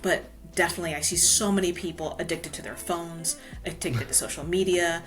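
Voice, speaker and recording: Low-voiced chatty woman guest. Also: a little fast, faint music behind, low-quality recording. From Hawaii. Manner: chatty, many "you know" fillers. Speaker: guest author. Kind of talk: casual podcast interview.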